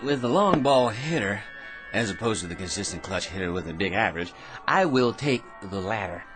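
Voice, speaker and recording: An actor playing a brash, eager, self-deluded schemer in a comic radio drama, full of cocky tag questions and nervous chuckles. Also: male voice, lowish voice, expressive, a little fast, over music, natural voice. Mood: know-it-all.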